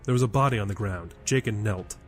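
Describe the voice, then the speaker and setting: A man's voice: fairly low pitch, fast talker. Man narrating dramatic fantasy over music. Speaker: storyteller.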